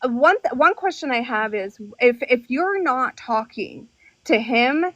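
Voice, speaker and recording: A woman hosting a casual live-stream talk, speaking bluntly in a flat, questioning way. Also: sits high, a little slow, dry recording, dull audio.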